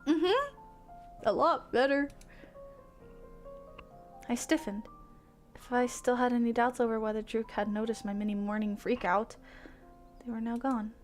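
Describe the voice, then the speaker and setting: A woman livestreaming visual novels, switching between excitable gushing reactions and expressive read-aloud narration. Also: a little high, measured pace, pauses often. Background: game music.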